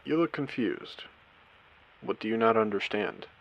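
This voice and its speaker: Slow, creaky, courteous AI casino host played by a man. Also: lo-fi sound. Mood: courteous, playful. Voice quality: low, creaky voice. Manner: theatrical, courteous, deliberate, with dramatic pauses. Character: courteous, eerie male AI host of an abandoned post-apocalyptic casino. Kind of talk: scripted character audio drama monologue.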